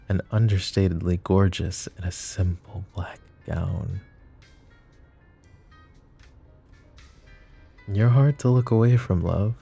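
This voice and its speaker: Roleplay audio: a man as a smug mafia boss, low gravelly purr, warm tone, faint music under. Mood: flirtatious. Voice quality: gravelly, teasing, soft-spoken. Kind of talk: ASMR roleplay acting.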